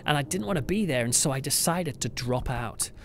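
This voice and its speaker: A man's voice: medium pitch, animated, brisk, a little hiss or hum. A British man hosting a podcast, narrating in a storytelling way with emphatic phrasing and conversational asides. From United Kingdom. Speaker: podcast host and church pastor. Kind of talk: scripted narration with conversational asides, reflective review. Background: music behind the voice.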